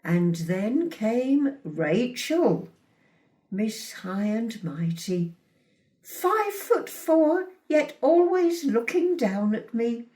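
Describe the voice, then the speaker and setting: Monologue role: possessive, aggrieved mother, middle-aged, played by a woman; unhurried, emphatic delivery with big swings in pitch, slightly distant recording. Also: very animated, warm tone, full character voice. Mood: bitter. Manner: indignant, deliberate, emphatic with dramatic pauses. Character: possessive, wounded, self-righteous mother of a grown son. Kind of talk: dramatic monologue, confiding storytelling.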